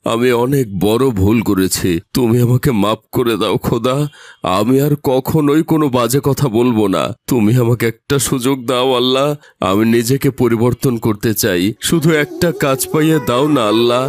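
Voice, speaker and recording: A man's voice: medium pitch, normal speed, darkish tone, no echo, natural voice. A man voicing a poor, hot-tempered husband in a cartoon, grumbling and plaintive, fretting and pleading. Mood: remorseful pleading. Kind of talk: cartoon voice-over dialogue.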